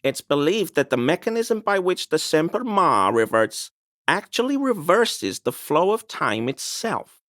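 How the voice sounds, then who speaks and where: Fussy, pedantic conspiracy buff, animated pitch. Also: male voice, unhurried, dry recording, clean studio sound. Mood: eager, scholarly. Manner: pedantic, wordy, evasive, prone to grumbling. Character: fussy defensive man, amateur cryptid enthusiast and conspiracy believer. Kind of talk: video game character dialogue.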